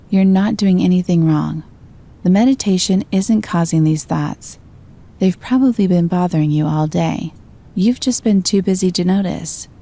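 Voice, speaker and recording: A woman gently guiding a meditation, giving slow, soothing instructions. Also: on the low side. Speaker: meditation guide. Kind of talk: guided meditation.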